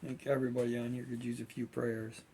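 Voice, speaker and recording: A relaxed man chatting to his viewers about his model builds. His voice is gravelly and a little hoarse, and he rambles with plenty of fillers. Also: mid-range voice, quick pace, slight background noise, rough recording. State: slightly hoarse, losing voice. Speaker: scale model builder and channel host. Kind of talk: casual chat to viewers.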